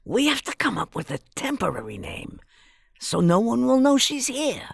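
A woman playing a bossy older fairy, the brisk no-nonsense leader of her sisters, in a fairytale audio drama. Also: fairly high pitch, huge pitch swings, vocal fry, breathy edge, dry recording, studio quality, heavily acted. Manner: commanding, no-nonsense.

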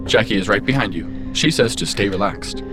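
A man's voice: lowish voice, expressive, a little fast, music in the background, lightly acted. A man playing a polite, wordy and tactless artificial intelligence assistant in a science-fiction audio drama. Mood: calm, helpful. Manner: matter-of-fact, wordy, tactless. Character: polite, chatty, unflappable artificial intelligence assistant of a Mars colony.

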